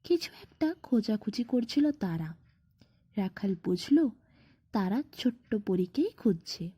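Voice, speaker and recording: A woman narrating a scripted bedtime fairy tale as a storyteller, in an expressive, unhurried manner. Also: mid-range voice, husky, no room sound, muffled recording.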